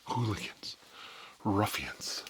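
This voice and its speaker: A man playing a stern, pompous school principal in a whispered ASMR role play, scolding pupils in halting, drawn-out phrases. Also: fairly low pitch, very animated, a little hiss or hum. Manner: pompous, halting, drawn-out, repeats words for emphasis.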